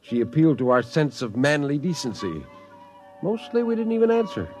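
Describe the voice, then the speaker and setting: A man's voice: a little high, very animated, brisk, music in the background. A man playing a wry, colloquial spaceship crewman who tells his tale in a 1950s science fiction radio drama. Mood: rueful narration. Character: Gus, wry slouching male spaceship crewman telling the tale.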